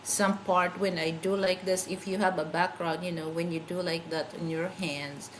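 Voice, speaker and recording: A woman's voice: on the low side, steady tone, moderate pace, slight background noise, rough recording. A middle-aged woman with a Filipino accent, whose first language is a Philippine language, hosting her own channel in a casual, rambling livestream chat and searching for words as she goes. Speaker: channel host.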